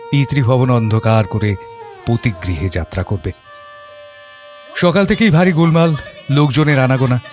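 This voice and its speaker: An actor playing a reflective father who narrates his memories in a measured, literary and expressive audio story style. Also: mid-range voice, lightly acted. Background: background music.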